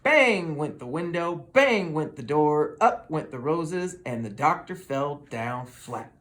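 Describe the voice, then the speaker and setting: A storybook reader tells a children's tale aloud. The pitch moves around in a lively way, and the delivery is expressive and dramatic. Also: slow pace, background noise. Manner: expressive, with dramatic sound words.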